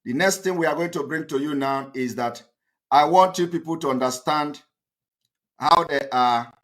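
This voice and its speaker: A man's voice: on the high side, moderate pace, fairly bright tone. A man with a Nigerian accent giving an impassioned political monologue, emphatic and defiant, often repeating his phrases. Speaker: political activist broadcaster.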